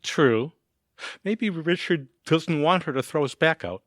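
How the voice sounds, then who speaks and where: A man's voice: a little high, expressive, a little slow, no room sound, clean studio sound. A man playing a mild, skeptical, slightly hesitant neighbour husband in an audio theatre comedy. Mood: doubtful.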